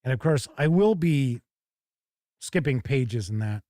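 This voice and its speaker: A man's voice: medium pitch, animated, a little slow, mellow, dry recording, clean studio sound. A man hosting a tabletop gaming show, chatting casually and thinking aloud as he gives live first-look commentary.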